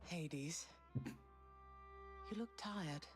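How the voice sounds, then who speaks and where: Video game role: composed goddess queen, lowish voice, formal and firm with tenderness, soft music behind. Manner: formal, measured, gently commanding.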